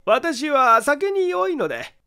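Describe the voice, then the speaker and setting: A male reader puts on an odd, evasive man from a detective story. His voice sits very high and swings about. He talks with pompous, coy significance, as if always excusing himself. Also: wildly swooping pitch, takes it slowly, gravelly, pushed and bright, exaggerated voice. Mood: excuse-making. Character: evasive, self-important, oddly behaved man, Akai.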